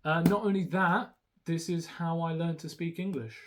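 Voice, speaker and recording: A man's voice: a little high, fairly even intonation, moderate pace, background noise. A young man whose first language is Romanian, talking casually and hesitantly through a haul with many ums and restarts. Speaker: YouTuber showing a haul.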